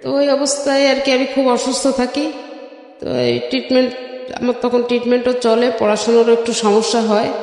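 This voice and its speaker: A woman's voice: fairly high pitch, level tone, normal speed, darkish tone, soft background music. A middle-aged Bangladeshi woman telling her life story in an interview, step by step, with frequent filler phrases. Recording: very echoey, long reverb.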